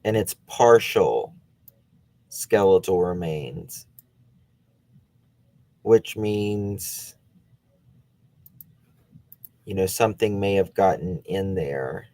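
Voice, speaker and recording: A man's voice: deep voice, animated, slow, pauses often, a little mellow, no room sound. A man hosting a true crime channel, thinking aloud in casual talk as he looks things up during a case discussion. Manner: thinking aloud while searching online.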